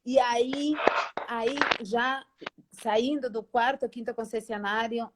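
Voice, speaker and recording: A middle-aged woman from Spain with a Spanish accent, a guest on a video-call interview, telling animated stories and acting out old conversations. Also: a little high, slow pace, heavy background noise. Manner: animated, acts out past dialogues, frequent "né?" tags. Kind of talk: casual storytelling interview.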